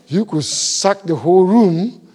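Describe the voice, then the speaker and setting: Animated pastor with a non-native English accent. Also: male voice, high voice, breathy edge. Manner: animated, laughing storyteller, big swings in loudness. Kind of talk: sermon.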